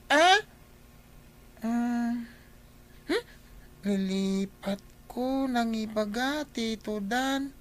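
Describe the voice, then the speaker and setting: A woman's voice: on the high side, expressive, extremely slow, long pauses. A woman voicing a fretful small boy who stammers and whines in a radio drama. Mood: evasive. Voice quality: stammering, whiny.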